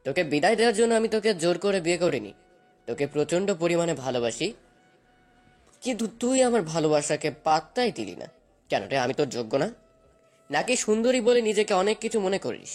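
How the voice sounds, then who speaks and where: A man's voice: high voice, big pitch swings, fast, darkish tone, faint music behind. Man acting a menacing young husband, swooping voice. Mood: bitter, threatening. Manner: taunting, commanding. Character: menacing, obsessive young husband, domineering and cruel. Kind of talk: dramatized romantic audio story acting.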